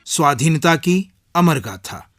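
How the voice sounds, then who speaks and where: Slow, deliberate male radio announcer. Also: on the high side, animated, fairly bright tone, no echo, studio quality, faint music behind. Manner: formal, deliberate. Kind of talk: scripted programme credits announcement.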